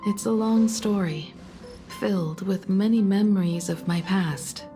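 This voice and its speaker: A woman playing a caring, composed starship navigator in a video game, speaking gently in a measured, reflective way. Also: mid-range voice, natural voice. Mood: wistful, earnest. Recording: music behind the voice.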